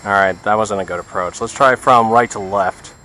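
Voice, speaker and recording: A man's voice: on the low side, moderate pace, pushed and bright, poor audio. Man flying a model plane, outdoor noise. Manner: talks to himself in short remarks. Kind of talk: casual running commentary while flying. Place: outdoors by water.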